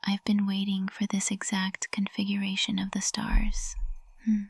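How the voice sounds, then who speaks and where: Woman acting a breathy, whispering witch teacher in love. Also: medium pitch, completely flat delivery, dry recording. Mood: tender, confessing. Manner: slow, hushed, intimate, adoring. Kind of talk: intimate ASMR whispered roleplay. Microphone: close ASMR microphone.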